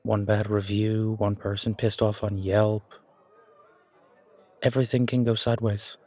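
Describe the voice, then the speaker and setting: A man's voice: on the low side, level tone, unhurried, a little mellow. A man playing a self-doubting chef in an audio roleplay, with a casual, hesitant, slangy way of talking. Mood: nervous. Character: self-doubting male chef, casual dreamer opening his restaurant. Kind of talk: fan audio roleplay acting.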